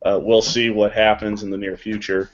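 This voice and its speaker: A man's voice: on the low side, flat delivery, moderate pace, highs cut off. A man chatting casually as a panel guest, wry and self-deprecating. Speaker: voice actor on a panel. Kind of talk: casual unscripted panel chat.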